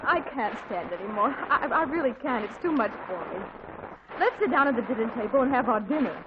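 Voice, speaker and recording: A woman's voice: fairly high pitch, expressive, fairly quick, close to an everyday voice. An actress playing a tired, well-mannered middle-aged mother in a 1940s radio comedy, speaking in a genteel, weary way. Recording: muffled old radio broadcast with hiss.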